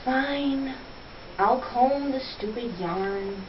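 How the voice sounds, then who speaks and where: A woman's voice: fairly high pitch, extremely slow. A woman voicing a reluctant, sulky child who pleads to play, in an amateur puppet show. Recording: roomy, distant, dull hissy recording.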